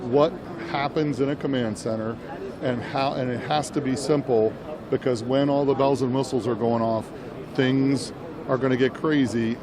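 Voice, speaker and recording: A man giving unscripted product demo commentary as an interviewer, explaining and rephrasing as he goes. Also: mid-range voice. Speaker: interviewer at a trade show. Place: indoor trade show booth. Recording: noisy trade show floor.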